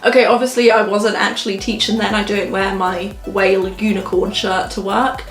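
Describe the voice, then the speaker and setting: A woman's voice: medium pitch, little pitch movement, normal speed, faint music behind. A young woman talking to camera in a casual vlog, chatty and self-mocking. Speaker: vlogger and online English teacher.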